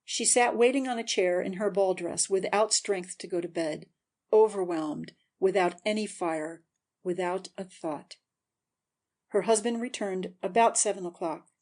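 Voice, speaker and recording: A woman's voice: medium pitch, a little slow, darkish tone. A woman narrator giving a scripted, dramatic audiobook reading.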